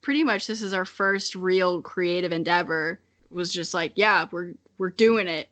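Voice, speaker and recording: A woman's voice: fairly low pitch, unhurried, a bit bright. A young woman co-hosting a podcast in casual chat, giggly and self-deprecating, swearing freely. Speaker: podcast co-host.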